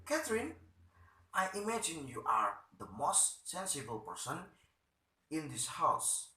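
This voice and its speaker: A man plays a pompous town official in a stage drama, stern and threatening. He scolds formally and haltingly at a slow pace, with a hard, bright edge. The recording is roomy and echoey. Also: mid-range voice, full character voice.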